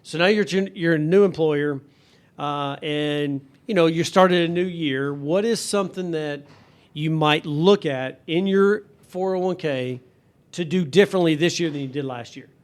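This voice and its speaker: A man's voice: fairly high pitch, normal speed. A man hosting a radio talk show on finance, steering the chat with questions and recaps. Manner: conversational, guides the talk with questions.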